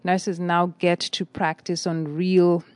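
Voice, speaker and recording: A middle-aged woman, a nursing director answering interview questions in a measured, articulate way with frequent fillers. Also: on the low side, little pitch movement, a little mellow, clear, resonant tone, dry recording. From Kenya.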